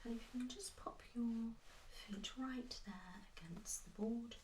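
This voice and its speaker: British female, ASMR doctor: soft whisper, very slow delivery, polite and soothing, slight background noise. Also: a little high. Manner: gentle, reassuring, polite. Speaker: ASMR performer playing a doctor. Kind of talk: ASMR medical role-play.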